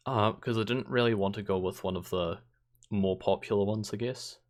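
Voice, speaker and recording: A man's voice: fairly low pitch, fairly even intonation, moderate pace. A young man hosting a podcast, chatting casually with short replies and the odd dry joke. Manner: laid-back, short replies, dry jokes. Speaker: podcast host. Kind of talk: casual chat.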